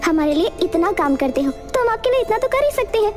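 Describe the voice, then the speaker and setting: Woman doing an eager, high-pitched village girl. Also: very animated, fast talker, darkish tone, soft background music. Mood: nervously explaining. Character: eager helpful village girl, a farmer's little sister. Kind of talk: Hindi animated fairy tale acting.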